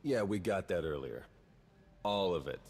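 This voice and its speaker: A man's voice: deep voice, animated, moderate pace, a little mellow, in a large hall, slight background noise. A man playing a wry, driven young spy agent in a video game, with a dry, sardonic manner. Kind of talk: video game cutscene acting.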